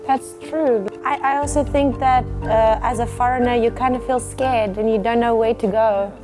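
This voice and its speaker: A woman with a high voice co-hosts a chat show in English, which is not her first language. She teases and chats playfully, nodding along with frequent "mm-hmm"s. Music is clearly heard under her voice. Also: steady tone, moderate pace. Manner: playful, teasing, chatty, with many backchannels. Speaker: co-host. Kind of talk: casual talk-show chat.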